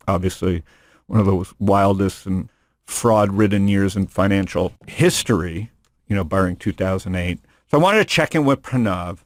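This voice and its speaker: Low-voiced joking male podcast host. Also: no echo. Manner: rambling, joking, restarts sentences. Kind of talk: casual interview chat. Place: studio room.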